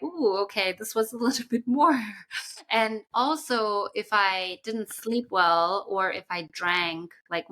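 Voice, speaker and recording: A young woman co-hosting a casual podcast chat, explaining at length with frequent ums and pauses. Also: medium pitch, fairly bright tone. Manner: thoughtful, explains at length with many fillers. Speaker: podcast co-host.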